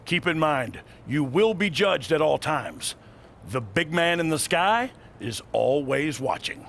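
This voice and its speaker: A man's voice: on the high side, sing-song, takes it slowly, vocal fry, a bit bright, a little hiss or hum, full character voice. A man playing a blunt, authoritative veteran wrestling coach in a video game cutscene. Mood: official, firm. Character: gruff, no-nonsense male veteran wrestling coach.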